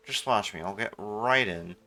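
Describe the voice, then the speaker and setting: Male game streamer, gravelly, bright forceful tone, casual commentary, faint game music behind. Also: on the low side, moderate pace. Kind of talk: casual live gameplay commentary.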